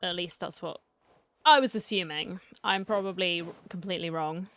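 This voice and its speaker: A woman's voice: lowish voice, moderate pace, fairly bright tone. A woman casually reacting to a game as she plays, with playful asides to the characters. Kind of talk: casual game commentary, reading along.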